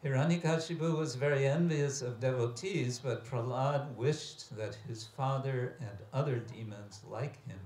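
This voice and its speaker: A man giving a scriptural lecture as a religious teacher, reading aloud and commenting with deliberate, emphatic phrasing and pauses. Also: medium pitch, darkish tone. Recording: echoey room with background hiss and ambience.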